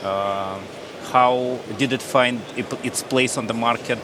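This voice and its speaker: A man's voice: medium pitch, fairly even intonation, slow pace. A man with a Russian accent asks informal questions as a television interviewer. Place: trade show stand. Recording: noisy busy exhibition location, clear background noise.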